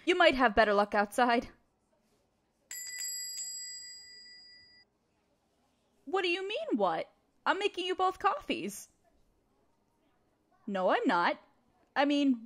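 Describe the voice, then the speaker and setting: A woman acting in an audio roleplay as a guarded, jealous, resigned barista who runs a cafe, speaking haltingly and defensively. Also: high-pitched, a bit bright, full character voice. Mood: flustered, defensive. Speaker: amateur audio roleplay voice performer. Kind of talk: audio roleplay acting, one side of a dialogue.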